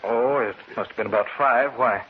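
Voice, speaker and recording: A man's voice: mid-range voice, moderate pace, slightly rough, close to an everyday voice. An actor playing an uneasy man narrating his own horror story, earnest and dramatic in old radio style. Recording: muffled, noisy old radio recording.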